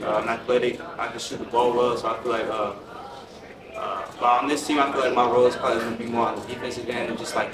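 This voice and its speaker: Teen male athlete, hesitant, crowd noise behind. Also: medium pitch. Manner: hesitant, many uh fillers. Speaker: national team basketball player. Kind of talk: answering press questions. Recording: roomy, crowd noise, distant mic.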